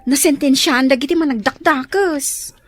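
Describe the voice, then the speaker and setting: Radio drama aide woman performer: respectful, eager, high register, Filipino accent, light music underneath. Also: normal speed, close to an everyday voice. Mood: pleased, deferential.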